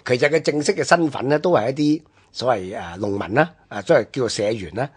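Man, Hong Kong Cantonese accent, radio history commentator, husky mid-pitched voice, halting phrasing, drawn-out particles. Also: expressive. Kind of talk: unscripted explanatory history talk.

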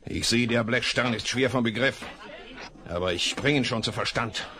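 A man playing a gruff, bold outlaw. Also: medium pitch, moderate pace, pushed and bright, lightly acted. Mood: urgent. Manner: gruff, commanding, urgent. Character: rough, bold male jailbird outlaw. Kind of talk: 1970s radio drama acting. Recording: noisy vinyl record.

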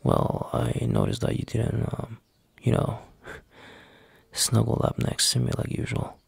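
Man acting a gravelly, hesitant young boyfriend. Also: mid-range voice, huge pitch swings, no echo, exaggerated voice. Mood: tentative, concerned. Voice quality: husky, gravelly. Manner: halting, hesitant, soft-spoken, sighing. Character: young boyfriend, anxious, tender, hesitant, easily exasperated. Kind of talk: boyfriend roleplay ASMR.

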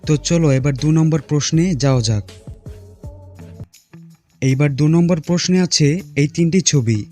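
A man's voice: a little high, moderate pace, darkish tone, faint music behind. A man narrating a scripted puzzle quiz as host, speaking directly to viewers.

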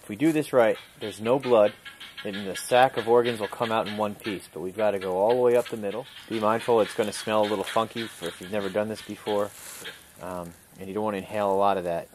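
A man calmly instructing a student step by step during an outdoor survival skills demonstration, recorded on a camera mic. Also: fairly low pitch, quick pace, slight background noise, low-quality recording. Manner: calm, instructive, talks through each step. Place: outdoors in a wooded clearing.

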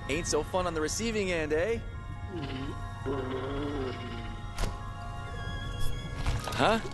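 An actor playing a sardonic, streetwise survivor in a zombie video game, sarcastic and skeptical in manner. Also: male voice, a little high, brisk, over loud music, lightly acted. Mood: taunting. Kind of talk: video game character acting.

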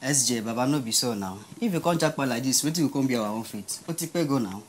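A man's voice: mid-range voice, lively intonation, quick pace, a little hiss or hum, lightly acted. A man with a Nigerian Pidgin accent playing a young friend urgently pleading with his pal.

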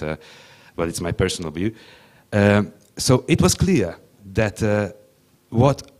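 A young man whose first language is Hungarian, speaking English haltingly on a conference panel into a handheld mic, with many restarts. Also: deep voice, darkish tone. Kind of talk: panel discussion.

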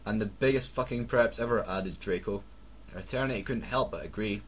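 Low-voiced Scottish man reading mockingly. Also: fairly quick, breathy edge. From Scotland. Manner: sarcastic, sweary. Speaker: co-host reading fan fiction aloud. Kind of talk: mocking comic reading with casual asides. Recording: noisy home recording.

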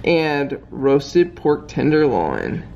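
A man's voice: fairly high pitch, huge pitch swings, very slow, darkish tone, lo-fi sound, a little hiss or hum. A young man co-hosting a casual cooking vlog in his home kitchen, plainly explaining each step. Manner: easygoing, explaining steps plainly. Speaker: vlog co-host. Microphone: handheld camera.

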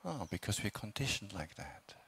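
A man leading a guided meditation as a teacher, speaking calmly in short phrases with long, unhurried pauses. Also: lowish voice, gravelly, slightly rough. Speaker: meditation teacher, Buddhist monk.

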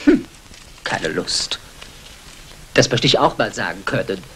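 Exacting, swooping-voiced dance coach. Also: female voice, extremely low pitch, sing-song, a bit bright, full character voice. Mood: weary. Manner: drawn-out counting, barked instructions. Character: exacting, world-weary female dance coach for stage girls. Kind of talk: 1960s German radio crime drama acting. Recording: faintly noisy vintage radio recording.

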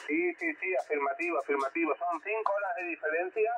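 A man's voice: fairly high pitch, fairly quick, over a noisy crowd. A man from the Canary Islands with a Canarian Spanish accent chats casually as a ham radio operator, repeating words for emphasis. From Canary Islands, Spain. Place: heard over a ham radio receiver. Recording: tinny shortwave radio with loud static.

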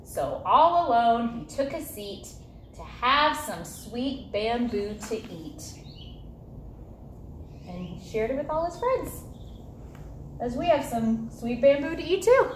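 A woman in her thirties reading a children's picture book aloud in an animated, sing-song storytelling manner. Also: on the high side, slow, long pauses. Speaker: zoo educator and story reader. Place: zoo viewing area beside glass habitat. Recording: roomy echo, light background noise.

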